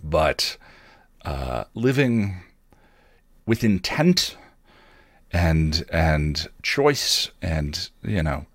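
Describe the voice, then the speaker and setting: A man hosting a solo podcast, talking casually and reflectively in a halting, self-questioning way. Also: low-pitched, very animated, no echo, clean studio sound. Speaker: podcast host and video creator.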